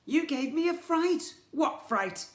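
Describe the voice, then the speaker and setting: A man's voice: very high-pitched, expressive, takes it slowly, fairly bright tone, echoey room, voice pushed up, heavily acted. A man voicing sly, evil old toy shopkeepers in a children's story, with a sneering, bickering manner. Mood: snarling. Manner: sneering, bickering, mock innocent.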